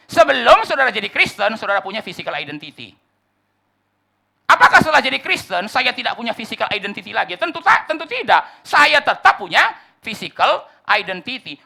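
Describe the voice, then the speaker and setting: A male pastor preaching an expository sermon, addressing the congregation directly, swinging from loud declarations to soft asides. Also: sits high, vocal fry. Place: church service.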